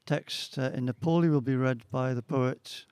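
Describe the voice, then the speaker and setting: A middle-aged or older man speaking haltingly and informally as he introduces readers at a poetry event. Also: mid-range voice, steady tone, darkish tone, clear, resonant tone, no room sound, clean studio sound. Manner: hesitant, halting. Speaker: event host introducing poets. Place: outdoors at a gallery event.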